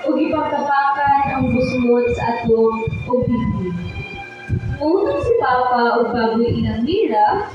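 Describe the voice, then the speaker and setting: A woman's voice: sits high, animated, a little slow, lo-fi sound. A woman playing a young woman who tells her homecoming love story in a radio drama. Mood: earnest narrating. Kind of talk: radio drama narration and dialogue. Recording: very echoey, distant, music underneath.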